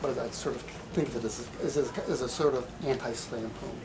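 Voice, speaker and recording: A man giving a live poetry reading in a bookstore, with halting, deliberate delivery, in an amateur recording. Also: medium pitch, fairly even intonation, vocal fry, background noise. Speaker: poet. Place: bookstore reading event, indoors.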